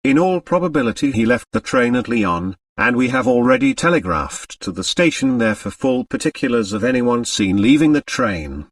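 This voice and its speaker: A man voicing a brisk, methodical French police commissary in an audiobook, official and reassuring. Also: mid-range voice, big pitch swings, no echo, far from the mic. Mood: methodical, speculating.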